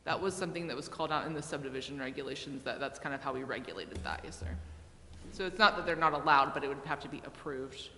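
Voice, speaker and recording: A woman's voice: extremely low pitch, lively intonation, quick pace, bright, forceful tone. A woman on city staff politely explaining procedures and regulations off the cuff at a public meeting. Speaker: city planning staff member. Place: indoor council chamber. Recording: echoey reverberant chamber with background noise.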